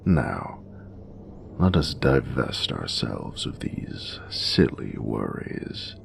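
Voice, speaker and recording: A man voicing an ancient, regal dragon in a romantic audio roleplay, doting and flirtatious, with gravelly, breathy, often near-whispered, grandiose and formal speech. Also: very deep voice, sing-song, background noise, heavily acted. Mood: fond, playful. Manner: grandiose, formal, measured phrasing. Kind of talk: romantic audio roleplay, slow intimate monologue.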